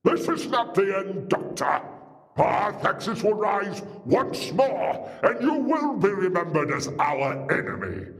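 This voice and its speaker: Vengeful alien villain, highish voice, drawn-out pace, grandiose, audio drama, long reverb. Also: male voice, sing-song, fairly bright tone, exaggerated voice. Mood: bitter, vowing. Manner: grandiose, threatening, slow dramatic delivery. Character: vengeful alien war lord, arrogant, menacing villain.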